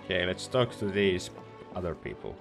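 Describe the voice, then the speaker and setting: A man's voice: low voice, sing-song, moderate pace, creaky. A man doing a let's play, reacting casually to a video game as he goes. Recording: faint game music behind voice.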